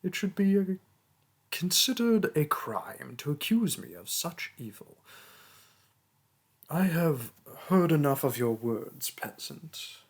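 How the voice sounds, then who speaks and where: Casting: male actor as a vain sorcerer king, slow, deliberate delivery, breathy tone, condescending and grandiose, for fantasy audio roleplay. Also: on the high side, big pitch swings, exaggerated voice. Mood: indignant, imperious. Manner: pompous, theatrical, condescending. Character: pompous, vain mage king, haughty toward his peasant subject. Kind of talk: theatrical roleplay monologue and storytelling.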